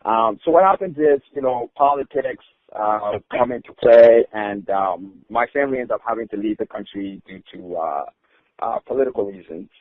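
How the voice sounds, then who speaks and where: A man's voice: on the low side, moderate pace, dry recording. A man from Rwanda, a podcast guest telling his life story with many hesitant fillers and restarts. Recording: tinny phone-like line.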